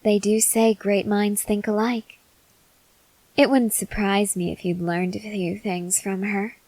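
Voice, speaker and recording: A woman plays a possessive vampire girlfriend in an ASMR roleplay, speaking very slowly and intimately to one listener. Her mid-pitched voice is measured and quietly menacing, dipping into breathy whispers. The sound is close and dry. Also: little pitch movement, no echo, rough recording. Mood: controlled, wistful. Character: possessive, softly menacing female vampire lover.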